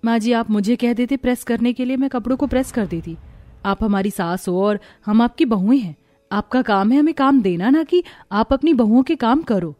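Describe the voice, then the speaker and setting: A woman voicing a dutiful, caring young daughter-in-law in a cartoon moral story, respectful and gentle in manner. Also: a little high, normal speed, lightly acted. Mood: caring, concerned.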